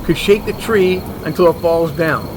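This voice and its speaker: A man's voice: fairly high pitch, moderate pace, noisy background, close to an everyday voice. A man playing a cocky, dismissive and stubborn monster hunter with a sarcastic manner.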